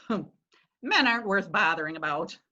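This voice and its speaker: A woman reading the part of a brisk, worldly woman who scorns men, in a brisk, lecturing way, for a readers theatre play. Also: medium pitch, expressive, bright, forceful tone, muffled recording. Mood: dismissive.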